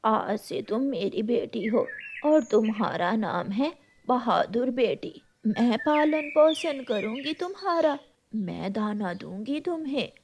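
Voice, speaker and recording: A woman voicing a compassionate old mother crow in a cartoon, gentle and reassuring. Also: on the high side, animated, slow pace, creaky, a little hiss or hum, full character voice. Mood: tender.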